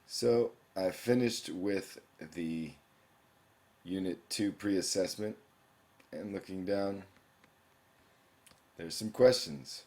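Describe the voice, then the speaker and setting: A man's voice: sits low, takes it slowly, long pauses, darkish tone. A young man, a teacher, walking through an online course in a screencast, explaining each page and reading questions aloud. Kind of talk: screencast walkthrough narration.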